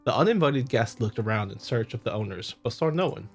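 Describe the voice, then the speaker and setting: A man's voice: medium pitch, lively intonation, a little fast, faint music behind. A man hosting a visual novel playthrough, chatting casually and reading aloud, joking and stumbling over the text. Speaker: host, gamer.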